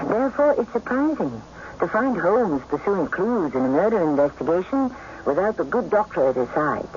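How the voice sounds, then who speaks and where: High, theatrical male radio host. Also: a little hiss or hum. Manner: measured, literary, dramatic. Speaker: host and narrator of a radio mystery series. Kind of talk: theatrical scripted narration. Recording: muffled radio broadcast with music.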